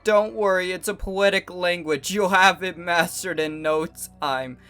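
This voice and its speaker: A man's voice: high-pitched, fairly even intonation, unhurried, sharp tone, smooth, soft background music. A man voicing a grandiose, smug male elder god in a video game, reading his lines in a pompous, theatrical way. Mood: reassuring.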